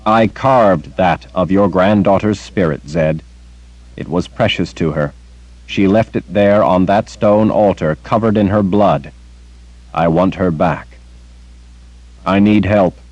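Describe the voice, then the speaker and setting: A man's voice: low-pitched, darkish tone, dry recording, muffled recording. A man voicing a young man, an earnest, grief-stricken hero, speaking in a pleading, intense way.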